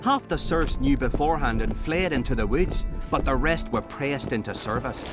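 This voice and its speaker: A man's voice: mid-range voice, animated, normal speed, close to an everyday voice. An actor playing a rustic man recounting grim war news in a video game. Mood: grave. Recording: game music behind the voice.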